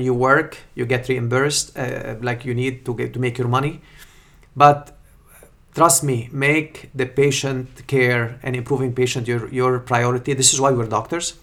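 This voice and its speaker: A man's voice: medium pitch, vocal fry, fairly bright tone. A middle-aged man with a Lebanese accent giving earnest, emphatic advice as an interview guest, often repeating phrases for weight. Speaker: podcast guest, surgeon and professor. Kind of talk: interview answers with personal anecdotes and advice.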